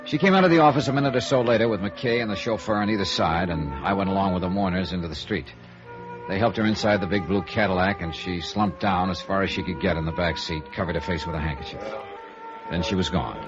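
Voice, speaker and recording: A man's voice: sits low, fast, close to an everyday voice. An American man playing a wry hard-boiled insurance investigator in 1950s radio noir, with a clipped, dry, laconic delivery. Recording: dull old radio recording, music behind.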